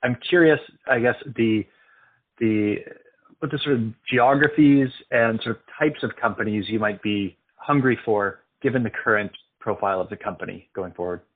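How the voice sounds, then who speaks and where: A man speaking as a financial analyst, putting questions on an earnings call with tentative, halting phrasing. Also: on the low side. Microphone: conference call line. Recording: thin phone-like conference call line.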